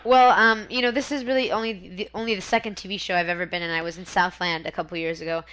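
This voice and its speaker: A young adult woman talking casually as an interview guest. Her voice is bright and forward, and she laughs often between fillers. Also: mid-range voice, fairly even intonation, quick pace, clean tone, rough recording. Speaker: guest actress and singer.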